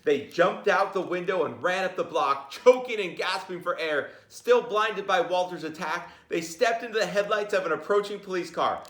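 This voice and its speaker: A man reading a children's picture book aloud in an informal, jokey, expressive way, with chatty asides. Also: high voice, normal speed, hardly any pauses, echoey room.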